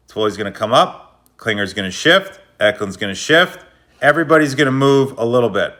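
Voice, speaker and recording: A man narrates hockey replays in a relaxed, slangy, coach-like way. His voice sits at an ordinary pitch but comes across bright and forceful. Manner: loose, slangy, coach-like explaining. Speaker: hockey video analyst. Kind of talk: casual play-by-play breakdown of game footage.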